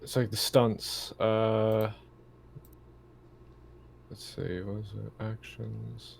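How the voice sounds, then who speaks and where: A man's voice: on the low side, little pitch movement, extremely slow, creaky, slight background noise. A man playing a tabletop game online, chatting casually and musing aloud as he works out his moves.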